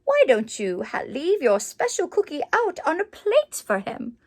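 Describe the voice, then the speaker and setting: A woman's voice: high-pitched, huge pitch swings, measured pace, heavily acted. A woman voicing a young girl mouse from a storybook, a firm, sensible and bossy older cousin. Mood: patient, explaining. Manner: explaining, scolding, firm.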